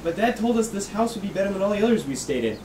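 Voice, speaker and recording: An upbeat, teasing teenage boy, played by a male actor, talks quickly and with animated pitch. The radio play recording is roomy and clearly noisy. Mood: eager. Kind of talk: amateur radio play acting. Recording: echoey, noisy room.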